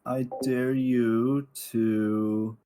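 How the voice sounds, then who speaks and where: A man's voice: mid-range voice, level tone, very slow. A man chatting casually on a group voice call with a noisy connection.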